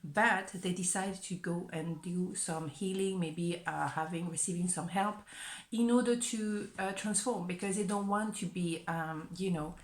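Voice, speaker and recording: A woman's voice: fairly low pitch, measured pace. A woman giving a casual, playful tarot reading, chatty and laughing often, sometimes dropping to a whisper. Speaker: tarot reader. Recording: echoey room.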